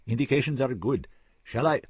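A man's voice: mid-range voice, lively intonation, normal speed, husky, no room sound, clean studio sound, voice pushed up. A man reading an audiobook, voicing a tense male doctor who speaks clipped and formal.

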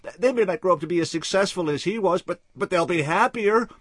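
Brief: man playing a frantic man pleading his conscience, voice pushed high and cracking, husky and dry. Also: quick pace, dry recording. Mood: desperate pleading. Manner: stammering, agitated, pitched up.

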